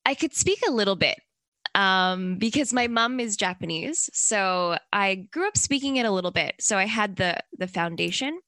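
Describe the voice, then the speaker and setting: A woman's voice: mid-range voice, lively intonation, normal speed, pushed and bright, dry recording. A young woman from Canada answering interview questions in a chatty, upbeat way with plenty of likes and ums. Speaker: interview guest.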